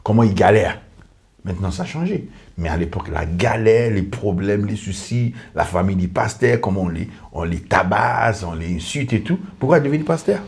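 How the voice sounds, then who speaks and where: Earnest pastor. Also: male voice, fairly low pitch, expressive, normal speed, darkish tone. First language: English. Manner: earnest, repetitive, lists in short clauses. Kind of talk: testimony in a podcast conversation.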